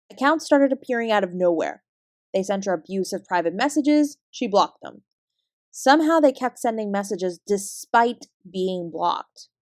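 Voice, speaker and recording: A woman with a Canadian accent playing a guarded, weary fraud investigator, giving a clipped, measured account in an audio drama. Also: medium pitch, no echo, clean studio sound, lightly acted. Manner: measured, clipped report-like delivery. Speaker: investigator being interviewed.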